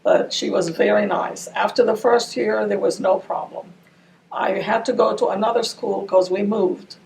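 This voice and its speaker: A woman's voice: medium pitch, fairly even intonation, moderate pace, creaky, a little mellow. An older woman from Ramallah whose first language is Arabic, recalling her childhood in an oral history interview. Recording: distant mic with faint noise.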